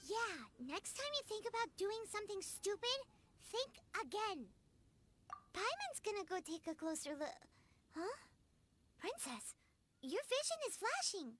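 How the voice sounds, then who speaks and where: A woman voicing a tiny, blunt, chirpy female fairy companion with a childish squeaky voice in a video game. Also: sing-song, measured pace, fairly bright tone, heavily acted. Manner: refers to self in third person, blunt.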